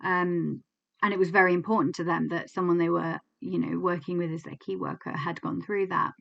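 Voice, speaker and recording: A woman's voice: lowish voice, fairly even intonation, brisk, gravelly, highs cut off. An English woman in her twenties, a clinical psychologist, giving a candid, reflective teaching talk to camera with frequent fillers and restarts. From England.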